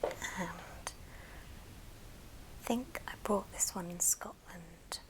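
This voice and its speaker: A woman speaking in a breathy, unvoiced whisper, gently presenting items in an ASMR show and tell with pauses between short phrases. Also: on the low side, low-quality recording. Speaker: ASMR video host.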